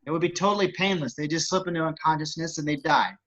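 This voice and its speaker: A man's voice: fairly high pitch, fast, a bit bright, close to an everyday voice. A man playing a frazzled, stubborn computer technician in an amateur screenplay table read, emphatic and insistent in delivery. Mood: matter-of-fact.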